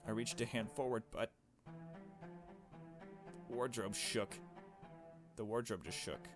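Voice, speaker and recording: A man reading a visual novel aloud as a let's player, in hushed storytelling narration with casual asides. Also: on the low side, unhurried, over music.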